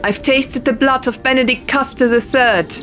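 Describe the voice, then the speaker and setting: A woman's voice: high-pitched, fairly even intonation, normal speed, fairly bright tone, voice pushed up. A woman voicing a solemn young female diviner in a fantasy audiobook, calling out ritual words in a projected, ceremonial way over music. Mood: solemn, summoning. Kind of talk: dramatic fantasy audiobook character voice. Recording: music and sound effects behind.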